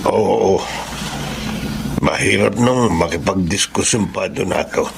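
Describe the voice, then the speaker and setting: An actor playing an ailing, submissive middle-aged husband, halting and earnest, in a radio drama. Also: male voice, deep voice, gravelly, background noise, heavily acted. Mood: resigned, regretful. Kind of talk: Filipino radio drama acting.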